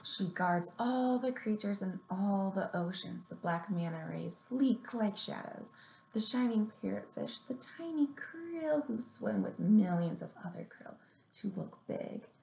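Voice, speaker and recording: A young woman presents story time for little kids at an unhurried pace. Her voice has a noticeable creak at an ordinary female pitch. She is recorded on a webcam in a roomy, echoing space. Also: expressive, mellow, slight background noise. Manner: measured, deliberate read-aloud delivery with simple instructions. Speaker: children's librarian, story time host. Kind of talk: picture-book reading and finger plays for young children. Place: small room indoors at home or office. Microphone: webcam or laptop mic at arm's length.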